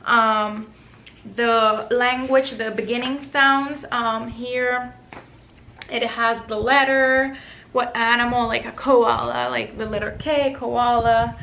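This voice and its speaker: A woman's voice: on the high side, steady tone, slow pace, highs cut off, slight background noise. A young woman with a Puerto Rican accent, whose first language is Spanish, casually showing off workbooks and rambling with lots of "um" and "like". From Puerto Rico. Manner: rambling, many "um" and "like". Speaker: homeschooling mom vlogger. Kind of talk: casual show-and-tell.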